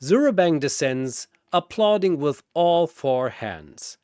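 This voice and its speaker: A man's voice: a little high, lively intonation, slow pace, mellow, no echo, studio quality. A man giving dramatic scripted narration of a fantasy battle, theatrical and emphatic, as narrator and announcer.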